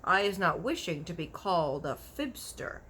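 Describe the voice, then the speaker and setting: A woman's voice: fairly low pitch, very animated, moderate pace, a bit bright, husky, exaggerated voice. A woman voicing a kindly, big-eared storybook giant who is earnest, proud and easily offended, talking in folksy broken grammar with invented words. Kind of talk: animated children's storybook character voice.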